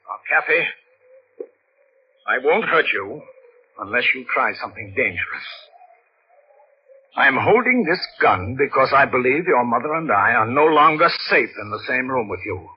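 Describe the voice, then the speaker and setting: An actor playing a domineering, rattled, menacing husband who interrogates insistently, in 1940s radio mystery style on an old recording. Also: male voice, medium pitch, expressive, moderate pace, long pauses, dull audio, a little hiss or hum, natural voice. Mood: cold threat.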